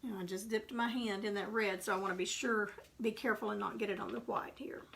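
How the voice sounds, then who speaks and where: Chatty Texan woman with a gravelly voice. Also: medium pitch, fairly even intonation, a little fast, a bit bright. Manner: chatty, rambling, homey asides while painting. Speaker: craft painting instructor. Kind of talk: casual live painting tutorial. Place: home craft workspace.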